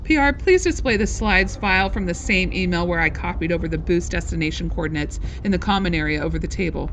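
A woman's voice: lowish voice, slight background noise, natural voice, low-quality recording. Woman playing a serious, formal spy agent. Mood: businesslike. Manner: measured, formal briefing. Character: serious, businesslike female spy agent briefing a crew. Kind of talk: science-fiction audio drama acting.

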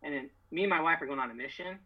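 A middle-aged American man with a husky voice, telling stories as a podcast guest in casual, slangy, emphatic, boastful talk. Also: a little high, racing, muffled recording. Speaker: podcast guest, door-to-door solar salesman. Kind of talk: casual, animated storytelling.

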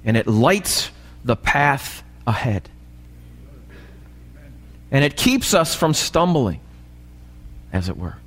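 Slow-paced American pastor in reverberant church. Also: male voice, medium pitch, big pitch swings. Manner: asks the congregation frequent short checking questions. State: slightly raspy voice this morning. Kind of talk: sermon. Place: church, preaching live. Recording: live church recording, long hall reverb.

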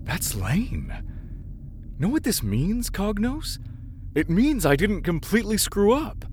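A man playing an excitable otherworldly mission operative in a science fiction audio drama, with a deliberate, theatrical delivery. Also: high voice, a little hiss or hum, heavily acted. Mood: relieved, excited. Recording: loud humming ambience behind the voice.

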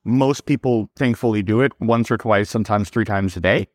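A man's voice: on the low side, normal speed, a little mellow, no echo, studio quality. A middle-aged man, a company founder born in Serbia and raised in New York, answering interview questions fluently but often repeating words as he goes. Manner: fluent storytelling with frequent word repeats.

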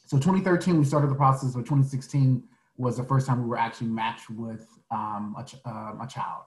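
A man's voice: mid-range voice, steady tone. Guest: man in his forties, earnest, hesitant, recorded in an echoey room. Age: 40s. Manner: earnest, halting, many fillers. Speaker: podcast guest. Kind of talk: casual interview answers.

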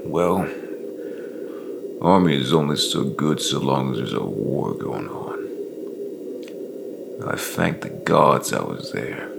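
A very deep, gravelly, grim bounty hunter. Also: male voice, very noisy, exaggerated voice. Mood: grim, reflective. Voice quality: gravelly, breathy, half-whispered rasp. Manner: slow, brooding, folksy gunslinger drawl. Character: grim, remorseless bounty hunter and war veteran confessing. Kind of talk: dark fantasy audio drama monologue.

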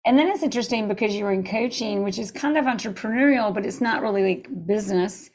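A woman's voice: medium pitch, quick pace, darkish tone. A woman hosting a podcast interview, asking chatty, enthusiastic questions over a video call. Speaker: podcast host. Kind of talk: casual interview.

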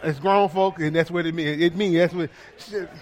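A man's voice: fairly high pitch, fairly even intonation, moderate pace, a little mellow, big-room sound, slight background noise. A male pastor preaching a sermon in a playful way, with lots of repetition and call-and-response to the congregation.

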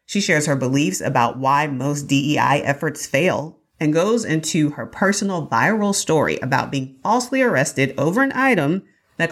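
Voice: mid-range voice, fairly bright tone. A podcast host introducing a guest and asking questions in an upbeat, encouraging way. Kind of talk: podcast interview.

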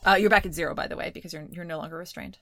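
A woman's voice: fairly low pitch, fairly even intonation, rapid-fire, bright, forceful tone, dry recording, clean studio sound. A woman narrating as game master of a tabletop game, laughing often and calling for dice rolls.

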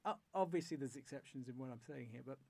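A man's voice: medium pitch, fast talker, vocal fry, warm tone, dry recording. A man hosting a podcast interview, thinking aloud with frequent restarts and hedging. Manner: thoughtful, hesitant, frequent restarts. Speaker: podcast host. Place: Norway.

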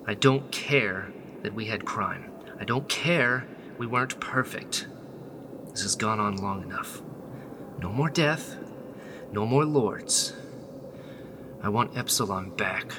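A man's voice: on the low side, slow pace, long pauses, background noise. A man playing an idealistic, principled rebel leader in a sci-fi audio drama, earnest and insistent. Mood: grim resolve. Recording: clear music bed behind.

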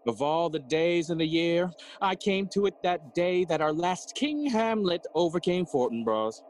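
An actor playing a jolly, cheeky gravedigger who riddles and teases in a theatrical bilingual Spanish-English Shakespeare audio play. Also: male voice, fairly high pitch, lively intonation, unhurried. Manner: teasing, sighing and chuckling, theatrical.

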